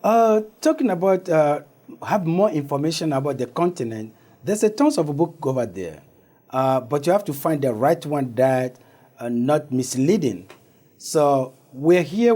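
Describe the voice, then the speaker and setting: A West African man whose first language is Ewe speaks as a studio interview guest. His voice is mellow and a little high, and his answers come haltingly with repeated phrasing. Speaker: interview guest, organization founder.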